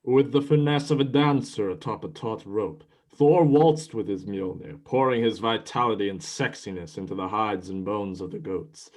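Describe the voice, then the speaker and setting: A man's voice: lowish voice, moderate pace, few pauses, a little mellow. A man reading scripted narration for a dramatized myth as its storyteller.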